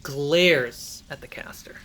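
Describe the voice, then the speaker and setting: Higher-pitched man running a fantasy game. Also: slow pace, bright, forceful tone, poor audio. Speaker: game master. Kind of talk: narrating a tabletop fantasy game.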